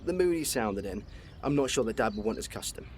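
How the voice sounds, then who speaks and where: A man plays a chatty young lad in a radio soap, rattling along quickly. He is blunt and teasing, with some ambient noise around him. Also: lowish voice, animated, close to an everyday voice. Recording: noticeable background noise.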